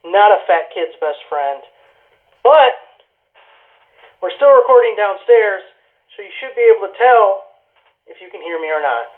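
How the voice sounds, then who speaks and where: A man's voice: high voice, animated, measured pace, long pauses. A man talking casually to viewers as he tests a product, heard through an intercom speaker. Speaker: product reviewer. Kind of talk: casual test talk to viewers. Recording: tinny, phone-like intercom speaker sound.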